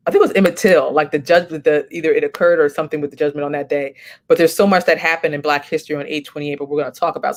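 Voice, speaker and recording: A woman hosting a casual livestream, chatty and encouraging, thinking aloud as she talks. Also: low-pitched. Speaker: host.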